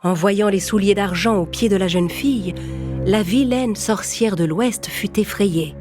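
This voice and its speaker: A woman narrates a children's tale with scripted storytelling delivery. Her voice sits at a medium pitch, with music clearly playing underneath. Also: a little slow.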